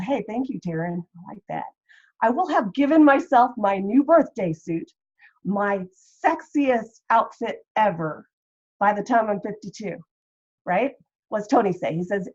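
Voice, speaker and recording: A woman in her fifties sharing her personal story on a group call, earnest and halting, with many fillers. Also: mid-range voice, expressive, husky, lo-fi sound. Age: 50s. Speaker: program participant.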